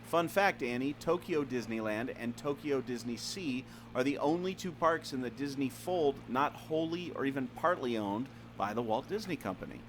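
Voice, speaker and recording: A man's voice: medium pitch, lively intonation, moderate pace, hardly any pauses, slight background noise, lightly acted. A man acting an earnest, knowledgeable explorer in a scripted audio adventure, with a theatrical, explanatory delivery. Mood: informative. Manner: expository, theatrical. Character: earnest male explorer, knowledgeable enthusiast of secret lore.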